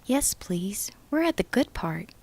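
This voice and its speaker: A woman's voice: mid-range voice, sing-song, measured pace, a little mellow. A woman playing a playful, teasing partner at home in an audio drama. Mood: eager. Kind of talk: audio drama character dialogue.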